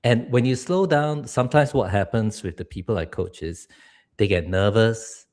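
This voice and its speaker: A man's voice: lowish voice, moderate pace, darkish tone. A middle-aged man from Singapore, a public speaking coach giving earnest advice on a live stream. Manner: earnest, advice-giving, laughs now and then.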